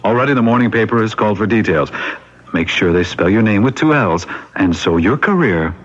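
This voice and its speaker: A man's voice: lowish voice, animated, brisk. An actor playing a patronizing police captain in a radio drama, condescending and falsely jovial toward his detective. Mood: patronizing, amused. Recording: dull vintage radio recording.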